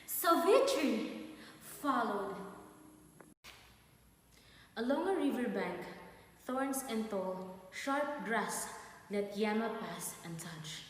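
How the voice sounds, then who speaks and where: A woman narrating a myth on stage from a script, in measured short phrases. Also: fairly high pitch, lively intonation. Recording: very echoey hall, long reverb, noisy.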